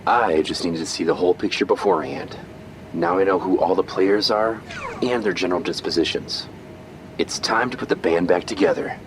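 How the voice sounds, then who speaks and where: A man voices a sardonic billionaire leader with a fairly low voice who gives orders confidently. A clear noise bed runs behind him. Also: animated, normal speed. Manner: sardonic, commanding. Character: cocky male billionaire hero leader.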